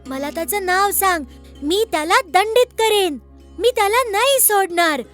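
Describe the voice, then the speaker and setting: Very high-pitched bold little boy god. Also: expressive, normal speed, fairly bright tone, faint music behind. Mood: alarmed, indignant. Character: child boy god Ganesha, bold, hot-headed, devoted son. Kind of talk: animated children's story dubbing in Marathi.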